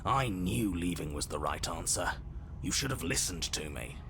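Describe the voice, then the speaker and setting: A man voicing a brooding male game narrator who speaks in a slow, solemn, measured way. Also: deep voice, lively intonation, creaky, noisy background. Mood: somber. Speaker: game narrator and inner voices. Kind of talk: scripted game voice acting.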